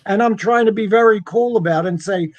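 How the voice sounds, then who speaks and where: Guy with a pretty high voice telling a story casually, acts out the dialogue, dry close sound. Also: fairly quick, dry recording. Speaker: guest telling a personal ghost story.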